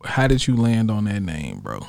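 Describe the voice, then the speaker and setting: A man's voice: lowish voice, huge pitch swings, moderate pace, gravelly, darkish tone. A man with an American accent asking questions as a radio interview host in casual, slangy talk.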